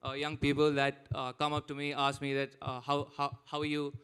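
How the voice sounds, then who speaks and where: Indian guy about 19 on a panel, smooth clear voice, halting, figuring it out as he talks. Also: fairly high pitch, monotone, a bit bright. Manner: hesitant, halting. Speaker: panelist, university student. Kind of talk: informal unscripted panel answer.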